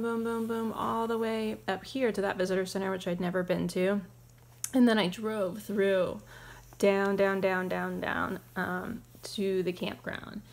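Female travel vlogger, casual conversational narration, informal delivery. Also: mid-range voice. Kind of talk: casual chat and voiceover narration.

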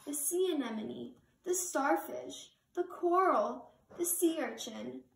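A young adult woman reading a children's picture book aloud with slow, expressive storytelling, sitting on a couch in a living room. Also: high voice, creaky. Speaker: reader. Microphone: camera across the room. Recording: roomy living room from far camera.

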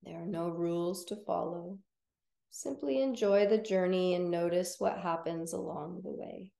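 A woman teaching yoga nidra, guiding relaxation in a gentle, soothing, unhurried manner with long pauses. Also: lowish voice, vocal fry, echoey room. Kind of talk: guided relaxation meditation.

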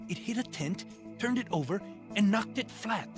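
A man playing a soldier recounting a strange dream in a dramatized audio Bible, telling it in an animated way. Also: high-pitched, moderate pace, music in the background. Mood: agitated.

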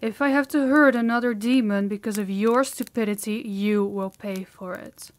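A woman's voice: medium pitch, fairly even intonation, moderate pace, close to an everyday voice. A woman playing a harsh, dominant demon in an intimate audio roleplay, commanding and menacing, often dropping toward a whisper. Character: harsh, dominant female demon training a human captive.

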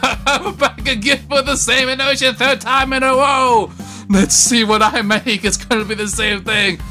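A high-voiced man improvises a comedy dub, drawing out his lines slowly with goofy exaggeration and shouts. Loud music plays behind him. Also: steady tone. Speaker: comedic dubber. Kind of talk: improvised comedy dub over game cutscenes.

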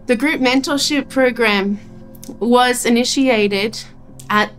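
A woman in her forties, a project officer, clearly and upbeat explaining a program. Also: on the high side, slow, rough recording. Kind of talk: explanatory interview. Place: room with a brick wall. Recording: soft music underneath.

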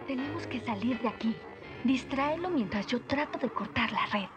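A woman's voice: a little high, lively intonation, moderate pace, over loud music, close to an everyday voice. A woman with a Latin American accent voicing a bold, urgent young warrior in a cartoon dub.

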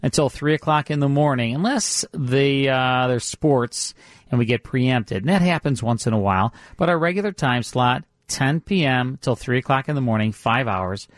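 A man's voice: mid-range voice, moderate pace, no echo, studio quality. A man in his fifties hosting a radio show casually, with a folksy, joking way of talking. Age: 50s. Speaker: radio host.

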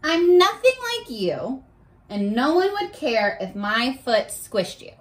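A woman voicing a dismissive young boy in a storybook, with haughty, drawn-out emphasis. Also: a little high, big pitch swings. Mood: scornful. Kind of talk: storybook read-aloud.

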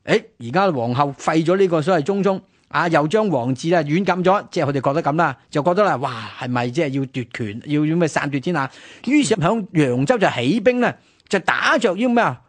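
A man's voice: on the high side, expressive, brisk, no room sound. A man with a Hong Kong Cantonese accent telling history conversationally as a radio host, often posing rhetorical questions.

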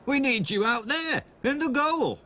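A man's voice: very high-pitched, animated, a little slow, pushed and bright, pitched above the performer's own voice, heavily acted. A man voicing a flustered football trainer, urgent and strained. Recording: audible background noise.

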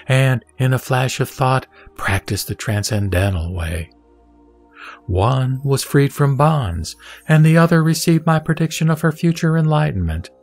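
A man reading a dramatised Buddhist scripture as narrator, in a measured, solemn and formal delivery. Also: fairly low pitch. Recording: background music under the voice. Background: music.

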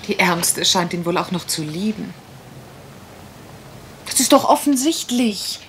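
A woman's voice: fairly low pitch, animated, unhurried, fairly bright tone, a little hiss or hum. A woman acting a shrewd, decisive, suspicious detective in a German radio crime drama, speaking with emphatic assurance.

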